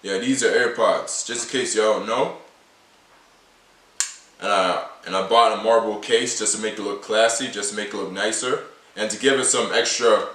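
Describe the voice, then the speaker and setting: A nineteen-year-old man talks to camera in a casual, rambling way, circling back to the same points again and again. His voice is bright and sits at a middle pitch. He sounds a little distant from the mic, and the small room gives an echoey ring. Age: late teens. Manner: repetitive, rambling, restates points often. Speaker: vlogger showing his everyday carry.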